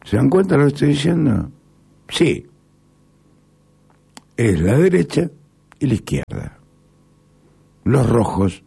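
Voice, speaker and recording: Man, Argentine accent, middle-aged, slow delivery, dark tone, husky, rambling and emphatic. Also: medium pitch. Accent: Argentine Spanish. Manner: rambling, halting, with sudden emphatic rises. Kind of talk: casual spoken monologue.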